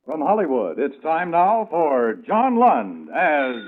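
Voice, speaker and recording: A man's voice: a little high, wildly swooping pitch, slow, background noise. An American man introducing a programme in a dramatic 1950s radio announcing style. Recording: tinny old radio broadcast.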